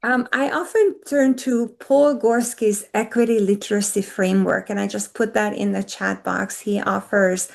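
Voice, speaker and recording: A woman's voice: mid-range voice, fairly even intonation, moderate pace, gravelly, few pauses. A woman whose first language is Hungarian, speaking earnestly as a panelist in an online discussion over a video call.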